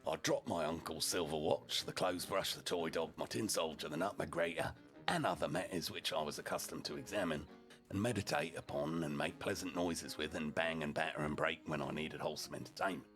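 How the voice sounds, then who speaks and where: A man reading a humorous first-person story aloud as a storyteller, with deliberate phrasing and profane asides. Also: rumbling low, a bit bright, soft background music. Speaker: storyteller, streamer narrating in character as a deputy.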